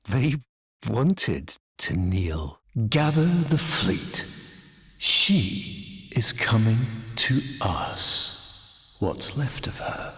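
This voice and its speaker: An actor playing a contemptuous, imperious villain in a game, delivering grandiose and deliberate theatrical monologues. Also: male voice, mid-range voice, vocal fry, reverberant, full character voice. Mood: gloating, menacing. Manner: grandiose, deliberate, theatrical, swinging between hush and outburst.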